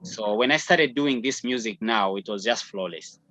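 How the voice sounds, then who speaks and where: A man in his thirties from Zambia, a musician talking reflectively in a remote interview, filling pauses with "you know". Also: lowish voice, bright, forceful tone, slight background noise. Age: 30s. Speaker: musician guest. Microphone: video or phone call.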